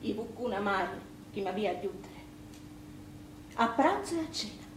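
An actress playing a bitter, battered Sicilian widow, speaking with a Sicilian accent in an impassioned theatrical monologue that moves between murmur and outcry. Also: female voice, medium pitch, sing-song, moderate pace, close to an everyday voice. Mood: anguished, resentful. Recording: roomy theatre sound with background noise.

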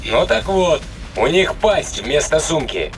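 An actor playing a terse, tough spaceship captain in an audio drama, with a curt, commanding manner. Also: male voice, on the high side, sing-song, slow, faint music behind. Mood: irritated. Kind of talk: acted sci-fi radio drama dialogue.

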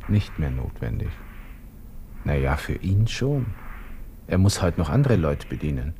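Young Austrian lieutenant, low pitch, Viennese accent, agitated, brooding, abrupt inner monologue, radio drama, light recording noise. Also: male voice, sing-song, unhurried, dark tone, full character voice. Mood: restless musing. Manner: muttering to himself, shifting abruptly from musing to exclaiming.

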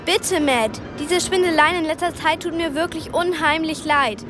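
A thirteen-year-old girl with a high, youthful voice in a radio drama. She is earnest and determined, urgent and direct, with music behind her. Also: normal speed. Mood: pleading. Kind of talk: radio play acting.

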